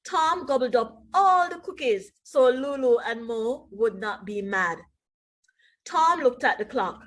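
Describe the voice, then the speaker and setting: Female primary read-aloud teacher: high voice, unhurried pace, expressive, emphatic, engages young listeners directly. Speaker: teacher reading aloud to children.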